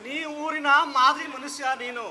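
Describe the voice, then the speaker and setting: A man playing a high-voiced concerned village friend. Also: fairly even intonation, moderate pace.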